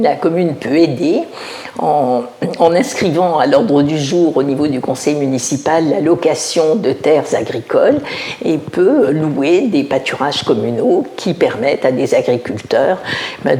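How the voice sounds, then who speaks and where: An older woman from Alsace, a village mayor, answering interview questions in a measured, explanatory way, often pausing to find her words. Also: low-pitched, lively intonation, warm tone. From Alsace, France. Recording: roomy echo.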